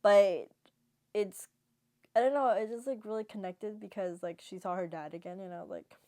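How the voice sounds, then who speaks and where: A woman's voice: medium pitch, normal speed, dark tone. A woman vlogger giving a casual, chatty drama review, animatedly retelling and acting out her reactions.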